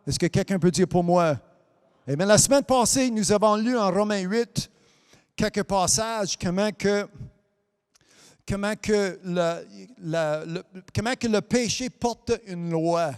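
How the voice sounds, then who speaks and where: High-voiced man preaching amid long reverb. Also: clear, resonant tone. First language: English. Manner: peppers lines with amen and hallelujah, halting restarts, sometimes sing-song. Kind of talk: sermon. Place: church hall.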